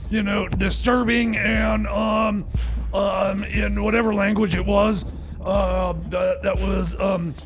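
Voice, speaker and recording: A man playing a flustered, grudging radio DJ who rambles and stammers haltingly through his music show. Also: sits high, flat delivery, creaky, heavily acted, rough recording. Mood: confused, halting. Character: flustered, grudging radio DJ left alone on air.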